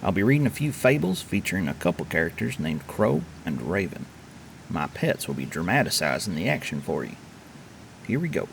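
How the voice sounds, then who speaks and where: A man's voice: sits low, sing-song, fairly quick, creaky, a little hiss or hum. A man performing as a storyteller, giving theatrical scripted narration.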